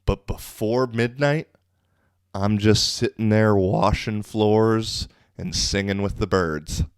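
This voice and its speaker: Deep-voiced man telling a rambling story. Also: slow pace, smooth, no echo, clean studio sound. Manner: loose, profane, rambling with many fillers. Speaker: podcast host. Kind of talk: casual storytelling monologue.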